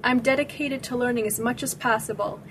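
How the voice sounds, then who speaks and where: A woman from Michigan, a sociologist and researcher, reading a prepared first-person account in a measured, even way. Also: a little high, noisy background. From Michigan, United States. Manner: measured, evenly paced reading of a prepared statement. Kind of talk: scripted first-person narration.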